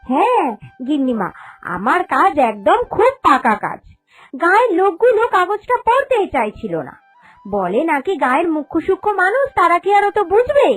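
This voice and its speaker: A woman's voice: very high-pitched, wildly swooping pitch, normal speed, full character voice. A woman voicing a humble, honest young village woman in a cartoon, speaking earnestly and politely. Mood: proud, sincere. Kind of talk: Bengali cartoon fairy-tale voice acting. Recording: music under the voice.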